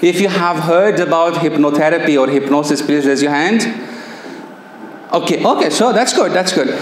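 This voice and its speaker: A man in his thirties with a Pakistani accent presents a seminar, his voice sitting a little high. He talks loosely and keeps pulling the audience in with questions. The handheld mic catches plenty of room echo over a faint hum. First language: Persian. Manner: chatty, engaging, asks audience questions. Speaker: NLP coach and trainer. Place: seminar room with projector screen. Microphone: handheld microphone.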